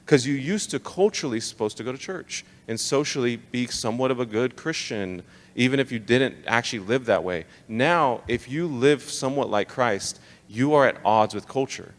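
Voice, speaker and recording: A man's voice: medium pitch, moderate pace. A young American man giving an informal, emphatic live talk to church leaders, conversational and slangy, often pressing rhetorical questions. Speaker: speaker leading a church leadership training session. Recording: live talk in a reverberant hall.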